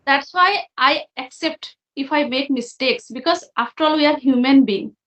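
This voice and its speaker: A woman's voice: sits high, moderate pace, fairly bright tone, roomy sound, dull audio. A woman from Assam, a guest speaking candidly and reflectively in English, her fourth language. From Assam, India. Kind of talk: casual conversation.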